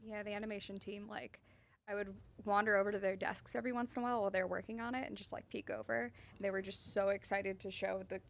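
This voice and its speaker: A woman guest from a game team, telling casual anecdotes in an excited way. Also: medium pitch, fast, vocal fry. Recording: loud background noise with clicks.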